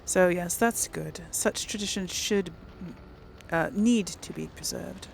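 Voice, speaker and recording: A woman hosting a casual role-play gaming video, musing aloud haltingly and often clearing her throat. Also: fairly low pitch, slight background noise.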